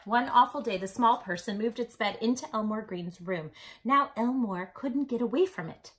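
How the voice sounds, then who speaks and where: A woman storytime host reading a picture book aloud to children in an expressive, dramatic way. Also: a little high, normal speed. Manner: animated, dramatic delivery for children.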